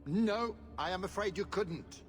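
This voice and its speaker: An actor playing a suave villainous duke in a video game, pompous and mockingly courteous. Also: male voice, high-pitched, a little slow. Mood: mock regret. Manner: pompous, mock-polite. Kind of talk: theatrical video game voice acting. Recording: music behind.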